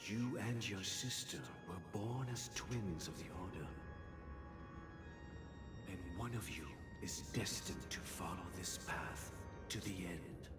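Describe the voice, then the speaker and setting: An actor playing a stern, paternal older master of a sacred order in a game, grave, deliberate and portentous. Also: male voice, deep voice. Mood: commanding. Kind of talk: dramatic video game voice acting. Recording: echoing, very loud music over it. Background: very loud music.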